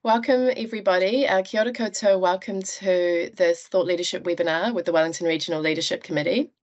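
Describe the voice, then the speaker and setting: A woman's voice: lowish voice, steady tone, quick pace. New woman hosting a webinar. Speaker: webinar host. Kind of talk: scripted introduction. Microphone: video call.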